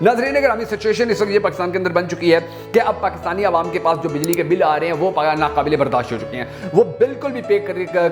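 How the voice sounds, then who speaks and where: A man with a Pakistani accent presenting news commentary to viewers, speaking emphatically and piling up repeated phrases for rhetorical effect. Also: fairly high pitch. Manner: emphatic, repetitive rhetorical build-up. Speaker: YouTube news channel host.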